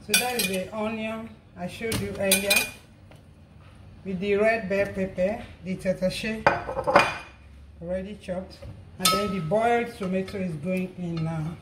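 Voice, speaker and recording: A woman with a hoarse, croaky voice calmly talks through a recipe as she cooks at home. Pots clatter and oil sizzles in the background, clearly audible under her voice. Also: medium pitch, a little slow, long pauses. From Nigeria. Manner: relaxed, explains each step while cooking. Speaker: cooking channel host. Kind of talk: casual cooking narration. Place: home kitchen. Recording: noisy kitchen. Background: pots clattering and food frying.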